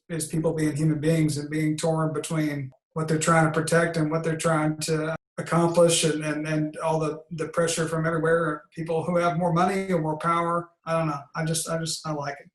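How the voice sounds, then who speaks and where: Higher-voiced man in an echoey room. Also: level tone, normal speed. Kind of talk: casual feedback. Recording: roomy echoing sound.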